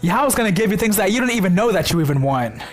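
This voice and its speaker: A man preaching in a casual, slangy way, hoarse from a sore throat, excited and repeating words for emphasis. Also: high voice, fast talker, faint music behind. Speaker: religious video host.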